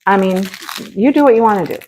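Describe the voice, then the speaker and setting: A woman's voice: medium pitch, fast talker, a little mellow, slight background noise, poor audio. A woman talks straight to her viewers in a homey, chatty way as she unboxes craft kits. Her voice is a little stuffy, and she has a slight cough from a cold. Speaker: crafting channel host. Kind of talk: casual unboxing chat.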